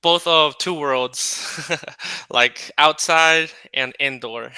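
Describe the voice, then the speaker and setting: A man from California, an English tutor chatting on a video call in a relaxed, friendly way with many pauses and fillers. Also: fairly high pitch, clear, resonant tone, no echo. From California, United States. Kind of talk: casual video-call conversation.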